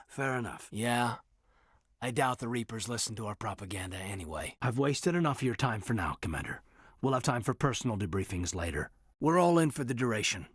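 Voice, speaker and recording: A reserved, dutiful military man in a video game. His voice is husky and sits at a middling pitch, moving up and down expressively as he talks in a sincere, measured way. The recording is close and dry. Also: fairly bright tone, no echo. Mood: steady, dutiful. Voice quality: slightly husky. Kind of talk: naturalistic video game character dialogue.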